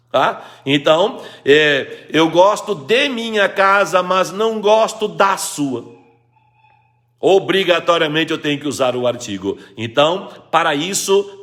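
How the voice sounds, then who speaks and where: A man's voice: a little high, a bit bright. A man with a Brazilian Portuguese accent, teaching grammar in a live lesson, reading out viewers' questions and restating rules with emphasis. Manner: explains patiently, reads viewers' questions, repeats rules emphatically.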